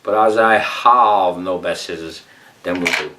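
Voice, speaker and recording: A man's voice: low-pitched, a little slow, far from the mic, background noise. A man doing a bumbling Brazilian fly tier. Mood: rambling. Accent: put-on Brazilian. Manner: rambling, broken English, muttered whispered asides. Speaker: fly tying demonstrator. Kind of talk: comic tutorial.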